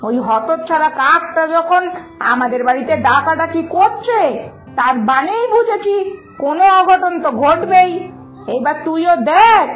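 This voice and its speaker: A woman's voice: very high-pitched, animated, measured pace, fairly bright tone, heavily acted, poor audio. A woman voicing a superstitious, stubborn village mother in a cartoon moral tale, scolding and insistent. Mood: agitated, triumphant. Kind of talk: Bengali moral-story cartoon dialogue. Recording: music behind the voice.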